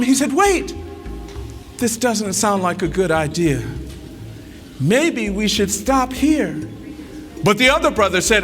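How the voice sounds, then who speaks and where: A man's voice: sits high, sharp tone. A man telling a folktale aloud to an audience in a dramatic way, calling out to his listeners. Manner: dramatic, call-and-response with the audience. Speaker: storyteller.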